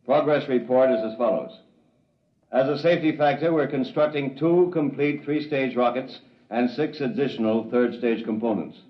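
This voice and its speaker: An actor playing a curt, no-nonsense military officer briefing the press in an old radio drama, with a clipped, commanding delivery. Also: male voice, mid-range voice, close to an everyday voice. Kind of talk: mid-century radio drama acting. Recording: dull, echoey old radio recording.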